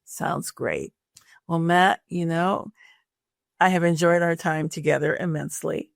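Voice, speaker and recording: A woman with a creaky voice, speaking conversationally as an interview host and career coach. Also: low-pitched, steady tone, unhurried, no echo, clean studio sound.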